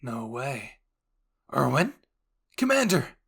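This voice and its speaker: Anime audio roleplay officer, urgent and shaken, mid-pitched as one narrator acts it out. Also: big pitch swings, slow pace, vocal fry, breathy edge, full character voice. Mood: shocked. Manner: urgent, exclaiming. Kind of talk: anime fan-fiction roleplay.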